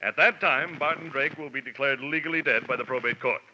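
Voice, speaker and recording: A gruff, self-important male office boss in a 1940s drama, stiff and businesslike. His very hard, forceful tone comes through a dull vintage recording with faint noise. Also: mid-range voice, a little fast. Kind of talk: 1940s radio drama acting. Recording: muffled, faintly noisy old radio broadcast.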